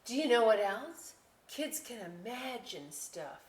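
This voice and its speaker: A woman voicing an expressive young girl in a children's picture-book read-aloud, with exaggerated, emphatic delivery. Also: a little high, takes it slowly, a little hiss or hum, voice pushed up. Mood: eager, insistent. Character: expressive young girl, bored, eager, exasperated. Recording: roomy indoor echo.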